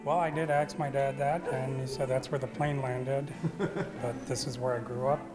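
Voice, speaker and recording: A man's voice: mid-range voice, steady tone, normal speed. A man from Pakistan, a community center leader, answering interview questions in an earnest, reflective way. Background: music.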